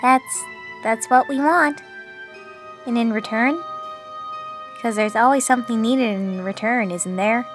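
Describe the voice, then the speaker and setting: A woman's voice: on the high side, unhurried, long pauses, over music, close to an everyday voice. A woman playing a desperate but resolute townswoman striking a bargain in an audio drama. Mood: wary.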